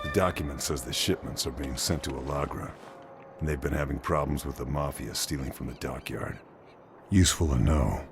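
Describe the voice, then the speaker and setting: An actor playing a gruff, stoic wartime lieutenant and covert operative in a video game, speaking in a terse, understated way. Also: male voice, rumbling low, normal speed, faint music behind. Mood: low, focused muttering. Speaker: player character and narrator. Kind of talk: game cutscene acting and scripted narration.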